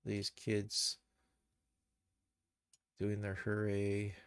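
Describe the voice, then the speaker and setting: A man giving a casual live software tutorial, thinking aloud and muttering to himself as he works. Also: on the low side, monotone, drawn-out words, clear, resonant tone, no echo, clean studio sound. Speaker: streamer, animation tutor.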